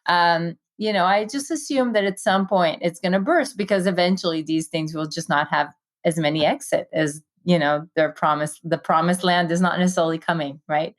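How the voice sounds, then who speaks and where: A woman from Israel with an Israeli accent, an investor telling her career story in a quick, enthusiastic conversational way with many fillers. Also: fairly low pitch. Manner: fast, enthusiastic, many fillers and "you know". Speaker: guest, tech investor.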